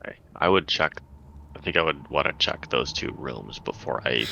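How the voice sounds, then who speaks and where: Very deep, gravelly man at a game table. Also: moderate pace, bright, forceful tone. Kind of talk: casual game-table talk. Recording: slightly dull audio, faint background noise.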